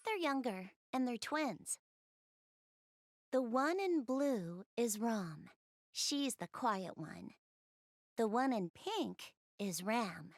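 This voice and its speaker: A shy, bookish young girl from a video game, speaks in a clearly high voice. She is gentle and earnest, a little hesitant as she talks directly to the listener. The sound is dry, free of room echo. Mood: fond, proud. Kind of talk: video game cutscene dialogue, addressing the listener.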